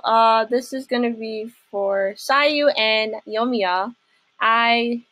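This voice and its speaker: A woman's voice: fairly high pitch, fairly bright tone, no echo, rough recording. Young woman doing a casual game reaction, rambly and giggly, pretty dry room sound. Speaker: reaction video host.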